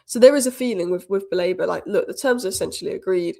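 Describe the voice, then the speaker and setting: A woman hosting a football news roundup, a little croaky from a cold, giving opinionated fan commentary. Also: fairly low pitch, fast talker, a little mellow. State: has a cold, slightly croaky. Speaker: football channel host. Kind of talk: fast solo news roundup.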